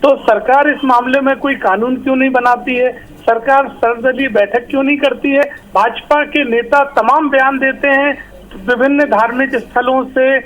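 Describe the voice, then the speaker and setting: A man's voice: very high-pitched, fairly even intonation, moderate pace, a little hiss or hum. A man arguing insistently as a political guest in a live TV debate. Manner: insistent, challenging. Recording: dull lo-fi remote link.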